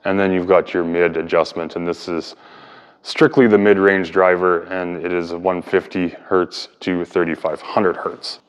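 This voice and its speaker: Male hobbyist host, low clean voice, informal hands-on explainer delivery, thinking aloud, reverberant room sound. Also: level tone, measured pace, clear, resonant tone, muffled recording. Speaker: hobbyist video host and speaker restorer. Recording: echoey room with long reverb.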